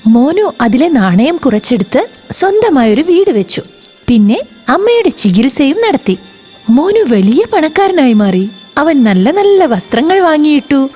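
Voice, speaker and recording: A woman narrating a children's moral story in an expressive, sing-song way. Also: high-pitched, normal speed, soft background music.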